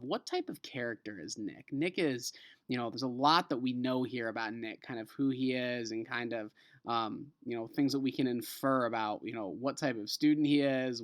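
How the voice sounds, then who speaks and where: Animated American male teacher reading aloud. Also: medium pitch. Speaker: elementary school teacher. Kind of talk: reading a children's novel aloud to a class, with asides.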